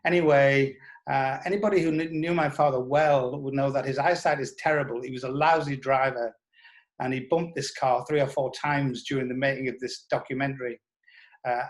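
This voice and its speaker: Hesitant, measured man. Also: medium pitch, poor audio. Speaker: writer interviewed about audio drama scripts. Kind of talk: reflective interview reminiscence.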